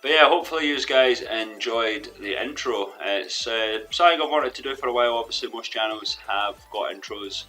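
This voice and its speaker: A young man commentating his own football management game in a rambling, hesitant way, his voice a little hoarse from losing it. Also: mid-range voice, normal speed, hardly any pauses, sharp tone. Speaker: gaming commentator. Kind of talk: let's play commentary. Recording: faint background music.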